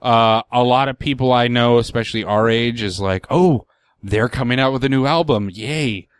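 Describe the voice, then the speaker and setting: A man's voice: mid-range voice, normal speed, clean tone, no echo, studio quality. A man chatting casually about music, joking, swearing and mocking singers. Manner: jokey, swears, mocks singers.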